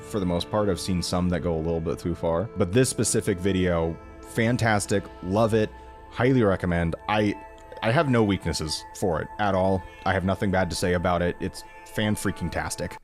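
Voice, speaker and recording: Low-voiced young man, casual video creator. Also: normal speed, faint music behind. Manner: informal, enthusiastic, self-deprecating, mild swearing. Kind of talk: casual rambling review to camera.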